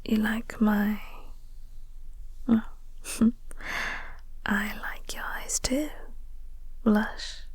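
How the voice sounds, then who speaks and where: A woman playing a flirty, teasing vampire office co-worker in an intimate audio roleplay, speaking softly and breathily with a coy, unhurried manner. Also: mid-range voice, fairly even intonation, no echo, exaggerated voice. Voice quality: soft, breathy, whispery. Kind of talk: intimate audio roleplay, whispered ASMR-like acting.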